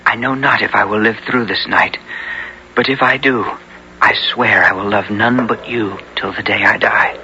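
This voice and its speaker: A man playing a bold young English gentleman hero in a 1970s radio drama, earnest and theatrical, with archaic formal speech. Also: on the low side, fairly even intonation, normal speed, slight background noise. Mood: earnest, amazed. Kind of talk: 1970s radio drama acting and first-person narration. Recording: dull 1970s radio broadcast.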